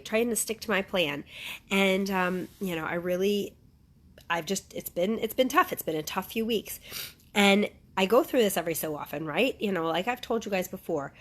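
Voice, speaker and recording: A middle-aged woman speaking informally and earnestly as a wellness coach, emphatic and repetitive, often checking in with her listeners. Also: mid-range voice. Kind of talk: informal motivational talk to viewers.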